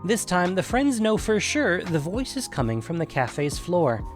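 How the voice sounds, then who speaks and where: A man's voice: high-pitched, sing-song, measured pace, faint music behind. A man hosting and narrating a scripted fantasy audio story. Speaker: narrator and podcast host.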